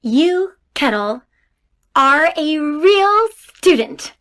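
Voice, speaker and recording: An earnest woman academic in an audio drama, played by an actress. Her voice is notably high, and she comes across as sensitive. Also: sing-song, extremely slow, fairly bright tone, full character voice.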